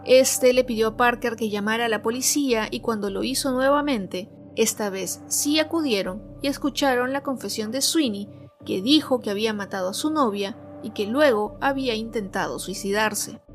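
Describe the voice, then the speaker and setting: A woman narrating a scripted true crime story as host, in a measured, clearly phrased way. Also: fairly high pitch. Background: background music.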